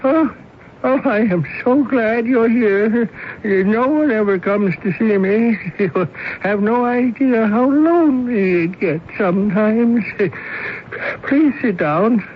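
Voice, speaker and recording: A man's voice: sits high, takes it slowly. An actor playing a kindly, lonely, befuddled older chairman who stammers genially, in a 1970s radio drama. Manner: dithering, stammering, genial, chuckling. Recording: muffled, noisy old radio broadcast.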